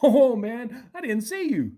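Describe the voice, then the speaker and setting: Woman playing breezy old hippie man, lively pitch. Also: unhurried. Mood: surprised, breezy. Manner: playful, folksy exclamations. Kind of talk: radio play acting.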